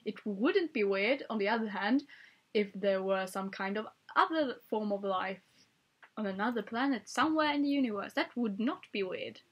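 A woman's voice: mid-range voice, animated, moderate pace, husky, lo-fi sound. A young woman whose first language is Swedish, chatting playfully in her bedroom into a webcam and laughing often. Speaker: vlogger. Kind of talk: casual tag video chat. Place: small bedroom, indoors. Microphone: webcam at desk.

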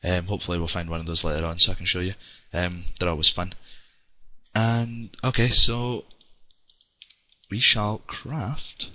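A man's voice: sits low, fairly even intonation, normal speed, pushed and bright, no echo. A young Scottish man chatting casually with lots of ums while narrating his gameplay. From Scotland. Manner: rambling, chatty, many ums. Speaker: let's play gamer. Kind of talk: casual game commentary.

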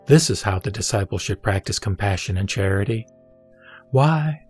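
Wise male spiritual master, played by a man, mid-pitched, solemn and instructive, for a sutra audio drama. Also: darkish tone, natural voice. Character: the Buddha, a wise, composed male spiritual teacher.

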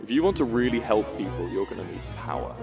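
A man giving a dramatic roleplay monologue in a deliberate, emphatic, speech-making manner. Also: on the low side, expressive, gravelly, highs cut off, music in the background.

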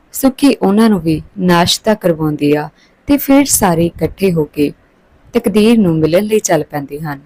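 A woman storyteller narrates from a script. Her voice is soft-edged and mellow, sitting slightly low. The recording is dry and close. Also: no echo, poor audio.

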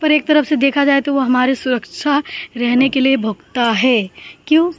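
A woman's voice: high voice, moderate pace. A woman chats casually and ramblingly into a phone at home. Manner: chatty, rambling. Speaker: housewife vlogger. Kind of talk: casual chat to camera. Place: small home rooms, kitchen and balcony. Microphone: phone at arm's length. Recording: clear background noise, household ambience.

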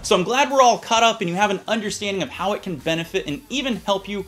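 A man in his thirties giving an upbeat, casual instructional software tutorial. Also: sits high, fast. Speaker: tutorial host. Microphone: USB studio microphone.

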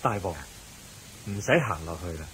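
An actor playing a calm, kindly Buddha in a radio drama, giving advice in a measured, gentle manner. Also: male voice, deep voice, slight background noise, poor audio. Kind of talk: Cantonese radio drama acting.

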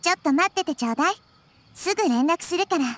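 A woman playing a wise, kindly childlike girl deity in an anime-style game, speaking in a calm, reassuring, articulate way. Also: very high voice, fast talker, slight background noise. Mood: composed.

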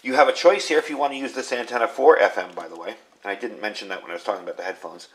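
A man's voice: lowish voice, racing. A middle-aged man with an American accent, giving an informal step-by-step product demonstration from behind the camera at a workbench. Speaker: radio gear reviewer, video host. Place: indoors at a workbench in a home workshop.

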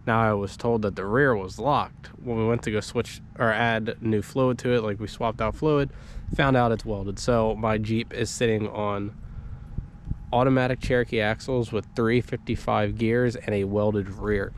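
Young man, stuffy cold, lowish voice, casual vlog talk, action camera, faint outdoor noise. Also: moderate pace. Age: young adult. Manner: casual, relaxed, with frequent ums and likes. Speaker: vlogger. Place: inside a parked vehicle, then outdoors beside it.

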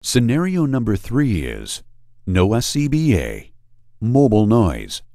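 Male narrator for instructional video: low, mellow, dramatically varied intonation, deliberate and emphatic, dry studio sound. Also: wildly swooping pitch, no room sound. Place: studio voice-over.

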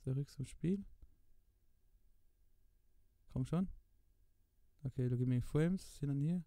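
A man with a Luxembourgish accent giving casual gameplay commentary, excitable and repeating words in quick bursts. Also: medium pitch, no room sound. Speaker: gamer commentating own play.

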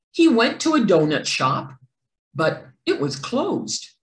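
Very animated woman reading a picture book. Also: medium pitch. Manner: theatrical, deliberate, stressed words. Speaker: children's read-aloud presenter. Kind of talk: expressive picture-book narration. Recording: roomy indoor echo.

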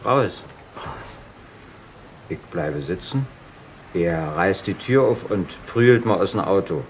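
An actor playing a defiant, slangy career criminal under questioning in a radio crime drama. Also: male voice, lowish voice, a bit bright. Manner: slangy, insolent, self-justifying. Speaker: arrested suspect. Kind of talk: 1970s German radio crime drama acting. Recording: noisy 1970s radio play recording.

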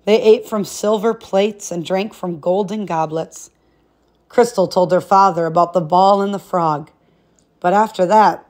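A woman's voice: lowish voice, darkish tone. Woman reading a storybook aloud. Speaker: read-aloud narrator.

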